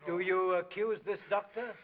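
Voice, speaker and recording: A man's voice: sits high, fairly even intonation, moderate pace, noisy background, close to an everyday voice. An actor playing a stern, sarcastic male police prefect who questions witnesses curtly, in a 1930s film. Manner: curt, interrogating. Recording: tinny, thin 1930s film sound.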